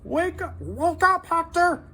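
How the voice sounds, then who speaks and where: A man's voice: very high-pitched, lively intonation, slow pace, bright, forceful tone, voice pushed up, heavily acted. A man voicing a small, anxious, urgent companion character in a children's storybook. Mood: alarmed, urgent. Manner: urgent, worried.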